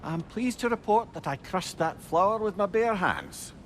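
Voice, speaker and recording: An actor playing a wry, tender-hearted old sage in a video game, with a Scottish accent and a heartfelt, eloquent delivery. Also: male voice, sits high, expressive, normal speed, a little hiss or hum. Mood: playful.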